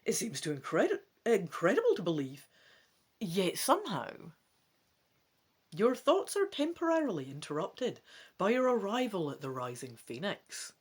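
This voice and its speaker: A woman hosting a gamebook playthrough, reading dramatically in an expressive, playful way with casual asides. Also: mid-range voice, normal speed, husky. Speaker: host of a gamebook let's play.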